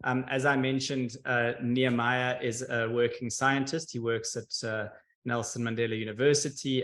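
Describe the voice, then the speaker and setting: A man's voice: mid-range voice, a bit bright. A man moderating a panel over a video call, speaking politely with hedges and fillers.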